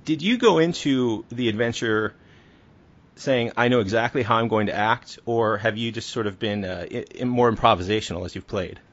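Guy hosting a podcast interview, voice a bit low, sound slightly dull and lo-fi. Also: normal speed. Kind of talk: conversational interview.

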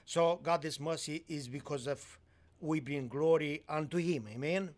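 A Romanian-born man speaking in halting, broken English with many fillers, giving a sermon testimony in a church. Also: fairly high pitch, a little mellow. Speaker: guest preacher.

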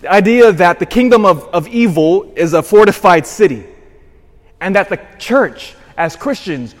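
A man in his forties gives a sermon as parish priest, his voice pitched high and moving freely. He leans hard on some lines and drops quietly on others. A long reverb from the church trails every phrase. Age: 40s. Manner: animated, swings from shouted emphasis to quiet asides.

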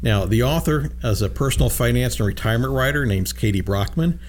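A man hosting a talk radio show in a studio, easygoing and conversational, stumbling over a word now and then. His voice sits at a middling pitch over a faint hum. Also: animated, fairly quick. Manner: easygoing, frequent fillers and restarts. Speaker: radio show host, financial advisor. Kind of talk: casual radio chat.

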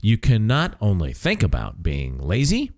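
A man's voice: lowish voice, huge pitch swings, normal speed, darkish tone. A man co-hosting a radio show in a conversational, part-scripted style, with a jokey, emphatic manner.

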